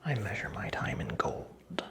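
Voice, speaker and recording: A performer playing a creepy, teasing, very old male magical imp in a whispered ASMR roleplay, with breathy, creaky, theatrical delivery. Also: natural voice. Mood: taunting, impatient. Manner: slow, theatrical, taunting, toying with the listener.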